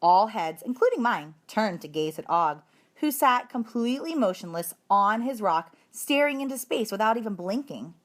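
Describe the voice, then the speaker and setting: Female storybook narrator, medium pitch, very animated and expressive. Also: moderate pace. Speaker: story reader.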